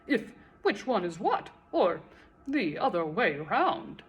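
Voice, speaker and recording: A woman's voice: mid-range voice, big pitch swings, takes it slowly. A woman voicing a snooty, indignant creature of the upper set in a children's storybook. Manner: haughty, indignant. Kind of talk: storybook character voice.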